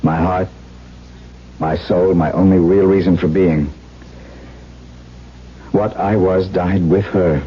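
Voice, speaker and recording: A man's voice: deep voice, steady tone, slow, long pauses, slight background noise. A man playing a brooding, haunted widower in a radio drama, speaking with intense, literary melancholy. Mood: earnest, anguished. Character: brooding, haunted widower, tormented by lost love. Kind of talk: dramatic first-person radio narration and dialogue. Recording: dull 1970s radio recording, music behind.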